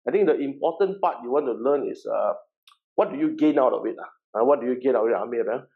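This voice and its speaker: A Malaysian-accented man in his forties talks a workshop audience through his background at a middle pitch. He is chatty and loose, repeating words and tossing in "okay" checks. The sound is a little dull and lo-fi, like an online session. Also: fast, gravelly. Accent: Malaysian English. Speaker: workshop presenter and real estate agency founder. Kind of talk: informal seminar presentation.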